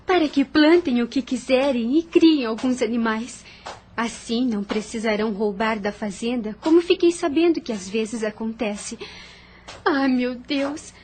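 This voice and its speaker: A woman's voice: high voice, darkish tone, a little hiss or hum. A woman playing a kind, resolute young plantation lady in an audio drama, earnest and emotive, with a Brazilian accent. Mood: hopeful, musing.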